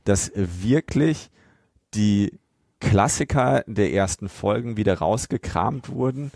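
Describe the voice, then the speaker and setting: Lowish-voiced man, bantering podcast co-host. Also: expressive, takes it slowly, gravelly, mellow, no room sound, clean studio sound. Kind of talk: casual chat.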